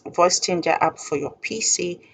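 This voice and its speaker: Female tutorial presenter, low register with gravelly fry, informal and deliberate instruction. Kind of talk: informal step-by-step screen tutorial.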